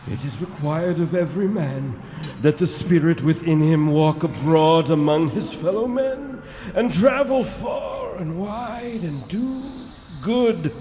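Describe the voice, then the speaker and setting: A man acting a tormented, stern ghost of a dead businessman in a live radio drama, with theatrical, drawn-out, wailing delivery. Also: sits high, darkish tone. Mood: grave, forceful.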